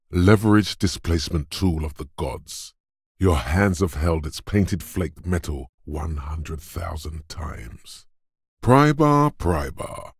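Gruff, goading muscle-brain game narrator; slow, dramatic delivery, gravelly bass, close dry sound. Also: male voice, darkish tone, dry recording, full character voice. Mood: goading, forceful. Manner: deliberate, commanding, taunting. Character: gruff, macho inner voice of brute muscle, goading like a drill coach.

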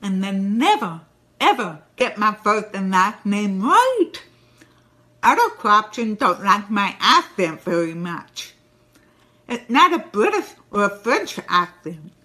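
Slow, animated woman with a deaf accent. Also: medium pitch. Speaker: presenter in her own recorded tip videos. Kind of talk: short recorded tip video. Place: home room with shelves.

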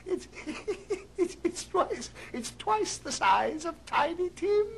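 An elderly reformed Victorian miser, British, creaky, stammering and effusive. Old radio drama style, slightly noisy vintage record. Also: male voice, extremely high pitch, sing-song, drawn-out words, exaggerated voice. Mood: giddy delight. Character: giddy, overjoyed elderly Victorian English gentleman miser reformed.

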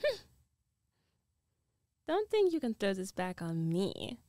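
Slow, mellow, teasing dominant girlfriend played by a woman. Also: medium pitch, huge pitch swings, no echo, studio quality. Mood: teasing, coaxing. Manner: slow, coaxing, breathy teasing, often dropping to a whisper. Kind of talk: intimate ASMR roleplay.

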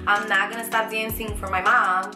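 A woman's voice: medium pitch, normal speed, over music. Chatty young woman vlogging in an echoey room. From United States. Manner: chatty, animated, lots of fillers and restarts. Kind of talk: casual Q&A vlog. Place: small bedroom with shelves, indoors.

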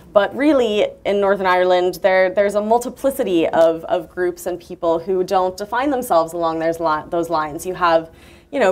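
A woman author at a book launch conversation, thinking aloud at length with fillers and restarts, heard from a far camera in a university lecture room. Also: on the low side.

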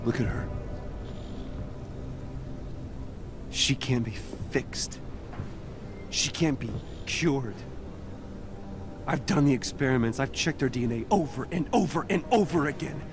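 A man acts a tense, accusing scientist in a game drama. The middle-aged figure speaks at medium pitch with very lively swings, over music. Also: normal speed, long pauses, natural voice. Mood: bitter, warning. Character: bitter, guilt-ridden male scientist, middle-aged. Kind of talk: cinematic video game acting.

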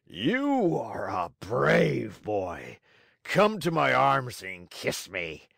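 A man voicing a huge, gruff, bearded puppet master with a hoarse voice, blustering but soft-hearted, in a storybook reading. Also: mid-range voice, wildly swooping pitch, very slow, no echo, studio quality, voice pushed up, full character voice. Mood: softened, magnanimous.

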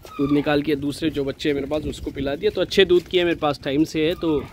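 Hyderabadi male farm vlogger, slightly high voice, persuasive seller tone, recorded outdoors on a phone, light background noise. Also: little pitch movement, quick pace, darkish tone. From India. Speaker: goat farm owner and channel host. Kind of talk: casual sales walkthrough. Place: outdoor goat pen at a farm.

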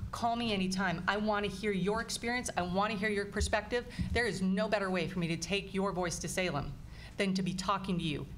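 A woman in her forties delivers an earnest, upbeat campaign speech at a candidate forum. She talks into a table mic in a meeting room with a small audience, with a faint hiss in the background. Her pitch sits in the middle range for a woman. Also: fairly bright tone. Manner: earnest, upbeat, emphatic lists. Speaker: political candidate.

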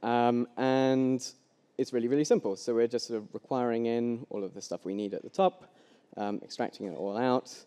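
A man's voice: mid-range voice, fairly even intonation, moderate pace, mellow, clean tone. A young man giving a casual live coding talk at a meetup, with plenty of ums and restarts, on a headset mic. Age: young adult. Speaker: conference speaker. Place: large hall with long reverb. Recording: echoey hall.

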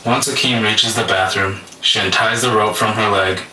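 Low-voiced male puppet-show narrator. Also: steady tone, moderate pace, slight background noise. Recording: echoey, distant, loud music behind.

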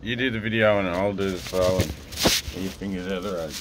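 Australian father, relaxed teasing family-vlog delivery, very deep register, outdoor phone recording. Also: fairly quick, very noisy. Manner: relaxed, teasing, easygoing dad talk. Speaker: father filming his sons. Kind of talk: casual family vlog chat. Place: outdoors in seaside sandstone caves. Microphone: handheld phone camera.

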